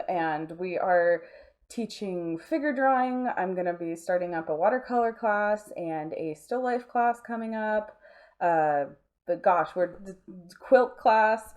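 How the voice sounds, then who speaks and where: A woman's voice: medium pitch, a little mellow. A woman talking casually as an interview guest, chatty and informal, often dropping in filler phrases. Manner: chatty, informal, frequent "like" and "you know". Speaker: interview guest, nonprofit arts space co-founder.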